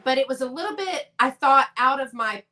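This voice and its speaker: A woman, a guest on a casual live chat, talking with rambling enthusiasm in quick bursts over a video call. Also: sits high, expressive, bright, forceful tone.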